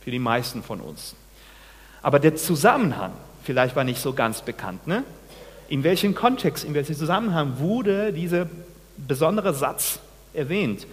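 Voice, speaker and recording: A man in his forties from Peru, a pastor giving a sermon, speaking haltingly with many restarts and questions to the congregation. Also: fairly high pitch, sing-song, big-room sound. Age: 40s. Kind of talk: sermon with personal testimony. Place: church.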